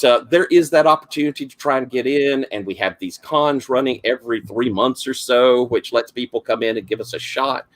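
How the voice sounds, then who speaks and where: Southern game master: middle-aged man, gravelly, rambling and full of fillers, talking over an online call. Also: medium pitch, fairly bright tone. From South Carolina, US. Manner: rambling, lots of fillers and restarts. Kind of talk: casual introduction of a game.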